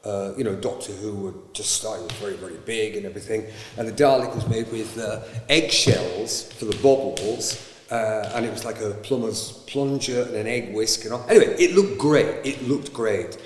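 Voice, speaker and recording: A man's voice: lowish voice, moderate pace, a little hiss or hum. Jokey man in an echoey hall. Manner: jokey, interjecting. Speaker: actor. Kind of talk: reminiscing interview.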